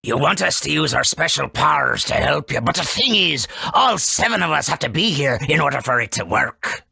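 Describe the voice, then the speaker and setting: Gravelly, folksy forest creature elder. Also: male voice, fairly high pitch, sing-song, sharp tone, dry recording, clean studio sound, heavily acted. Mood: sorrowful, resigned. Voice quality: gravelly, husky. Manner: folksy, drawn-out, dramatic storytelling. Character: folksy elder furry forest creature, cautious tribe leader. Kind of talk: video game character acting.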